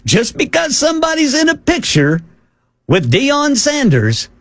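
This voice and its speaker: A man's voice: very high-pitched, unhurried, no room sound. A man co-hosting a radio talk show, telling stories and reading out a news item with wry asides. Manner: animated, wry storytelling. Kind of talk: reading a news story and chatting.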